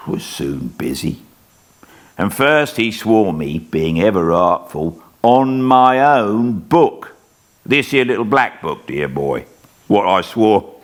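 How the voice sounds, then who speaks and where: Old rough convict, gruff, slow drawl, lively pitch, read by a man. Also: full character voice. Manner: gruff, plain-spoken, drawling. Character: old rough male convict telling his past.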